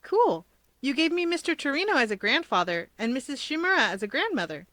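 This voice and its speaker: A woman's voice: high-pitched, very animated, fairly quick, fairly bright tone, dry recording, voice pushed up. Woman voicing a cheerful, easygoing schoolboy. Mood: bright, eager. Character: cheerful, easygoing schoolboy humoring his friend. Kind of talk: audiobook character voice.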